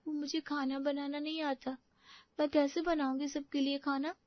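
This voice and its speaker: A woman's voice: high voice, level tone, moderate pace, no room sound, lo-fi sound, lightly acted. A woman playing a timid, grateful young orphan bride in an audio story, hesitant and deferential.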